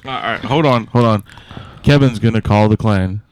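A man's voice: fairly low pitch, moderate pace, a crowd in the background. A man co-hosting a comedy podcast in casual group banter.

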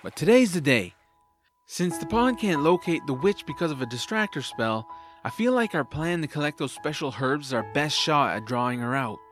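A man's voice: fairly high pitch, animated, moderate pace, fairly bright tone. A man playing an upbeat, curious young boy hero in an audio drama, eagerly talking to himself over music. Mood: hopeful, curious. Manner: eager, self-narrating. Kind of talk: family audio drama acting.

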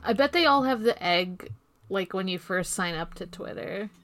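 A woman co-hosting a podcast, chatting casually and jokingly with animated interjections. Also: mid-range voice, moderate pace, dry recording. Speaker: podcast co-host.

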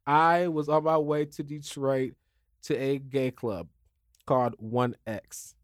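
A man co-hosting a podcast in a studio, chatting casually, joking and laughing often in quick banter. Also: mid-range voice, fairly even intonation, no echo. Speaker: podcast co-host. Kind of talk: casual chat.

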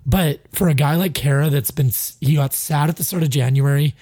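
A man's voice: fairly high pitch, fairly quick, warm tone, husky, no room sound, clean studio sound. A man co-hosting a casual sports podcast, ranting bluntly and with frustration, swearing freely and sounding a bit stuffy. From Canada. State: stuffy, sinusy. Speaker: podcast co-host.

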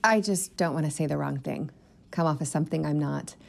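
An actress playing a young new teacher in an audio play, hesitant, earnest and eager to please. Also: female voice, low-pitched, brisk, vocal fry. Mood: nervous, careful. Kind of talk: naturalistic audio drama acting.

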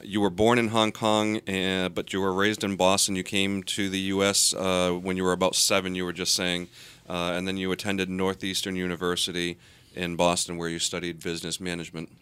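A man co-hosting an interview show, asking questions in a measured way and reading the guest's background. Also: low-pitched, monotone, fairly bright tone, smooth. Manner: measured, reads background notes. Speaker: show co-host.

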